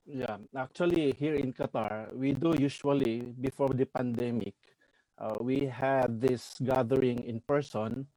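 A man in his forties, a church minister speaking in an earnest, halting way with repeated words in a casual chat. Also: medium pitch, mellow, no echo, rough recording. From Philippines. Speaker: church minister abroad, guest. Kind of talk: casual video-call chat.

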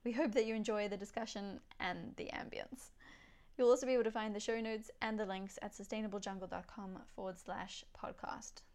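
A woman hosting a podcast, reading a clear, upbeat scripted introduction. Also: medium pitch, steady tone, fairly quick, a little mellow. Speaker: podcast host.

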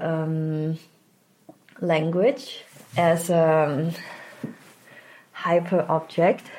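A woman from Taiwan, a native Mandarin speaker with a Taiwanese accent, talking reflectively as an interview guest and pausing to search for words. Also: lowish voice, a little mellow. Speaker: podcast guest, dance artist.

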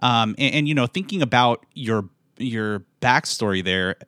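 A man hosting a podcast interview, asking questions in a halting way with repeated words. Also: medium pitch, animated, sharp tone, no echo, clean studio sound. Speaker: podcast host.

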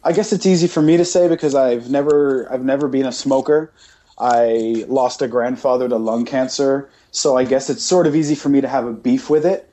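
Mellow-voiced man on a blunt rant. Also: mid-range voice, normal speed. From Britain. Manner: emphatic, repetitive listing, blunt. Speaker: podcast host. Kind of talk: casual opinionated rant.

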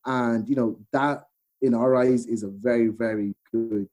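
Mellow Irish man telling stories casually. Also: medium pitch, steady tone, a little slow. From Ireland. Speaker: podcast guest. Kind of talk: casual chat, storytelling.

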